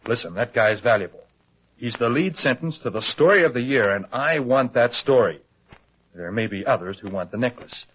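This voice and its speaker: An actor playing an anxious, colloquial, fast-talking newspaper reporter in old-time radio detective style. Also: male voice, mid-range voice, slightly rough. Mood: eager, conspiratorial. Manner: colloquial, excitable, hesitant when pressed. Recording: dull, lo-fi old radio drama recording.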